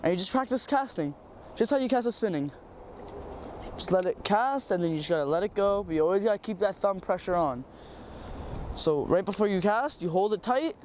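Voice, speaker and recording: High-voiced young man, stuffy from a cold. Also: expressive, a little fast, slight background noise. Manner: rambling, repeats words, says um often. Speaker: fishing tutorial host. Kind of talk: casual how-to demonstration. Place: outdoors by a small farm pond.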